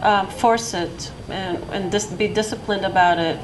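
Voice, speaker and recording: A woman on an art school panel, talking hesitantly with many pauses and restarts. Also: mid-range voice, fairly even intonation. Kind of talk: panel discussion. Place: lecture hall. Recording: noisy room recording.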